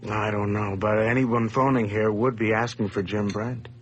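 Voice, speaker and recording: A man's voice: fairly low pitch, steady tone, normal speed, lo-fi sound, close to an everyday voice. Man doing nervous stammering schemer in a radio play, dodgy and jumpy, faint hum. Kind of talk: 1980s radio drama acting.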